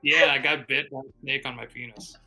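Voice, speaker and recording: A man's voice: medium pitch, steady tone, a little fast, over music, low-quality recording. A man chatting casually as a participant in a group livestream. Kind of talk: casual chat.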